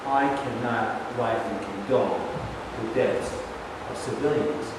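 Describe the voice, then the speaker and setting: A man playing a grave, measured military commander in a staged reading, recorded from afar in an echoey hall. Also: medium pitch, lightly acted. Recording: very echoey hall, far mic, hissy.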